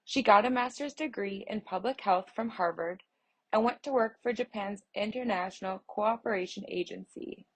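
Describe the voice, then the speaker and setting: A woman voicing a news feature aloud, reading in a steady, measured way with the odd small restart. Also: mid-range voice, husky, low-quality recording. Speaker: voice interpreter reading an article.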